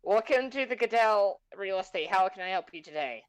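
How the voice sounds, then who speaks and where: A man voicing a huge male goliath estate agent with a high voice, who talks in a polite, businesslike sales manner. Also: expressive, brisk, clear, resonant tone, no echo, rough recording.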